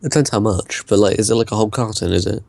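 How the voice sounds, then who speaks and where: A man's voice: lowish voice, racing, vocal fry, warm tone. A young man, co-hosting a casual podcast, hesitant and full of "like" and "I don't know". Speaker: podcast co-host. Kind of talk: casual chat.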